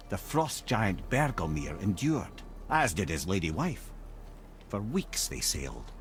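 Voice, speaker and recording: An actor playing a wry, learned old Scottish storyteller in a video game, telling tales theatrically. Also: male voice, low voice, a little hiss or hum.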